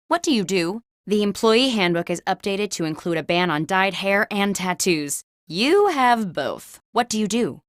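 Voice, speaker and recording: Animated office interviewer game host. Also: female voice, medium pitch, no echo, studio quality. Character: office job interviewer posing workplace questions. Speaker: game host reading prompts. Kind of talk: scripted video game host narration.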